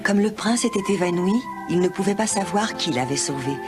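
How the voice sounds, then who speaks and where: A woman narrating a children's animated fairy tale as a scripted storyteller. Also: medium pitch, brisk, loud music behind the voice.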